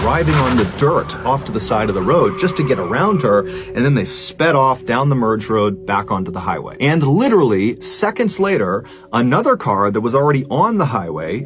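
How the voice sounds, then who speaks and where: A man telling a strange true story as a host, in a conversational, emphatic and suspenseful narrating style. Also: medium pitch.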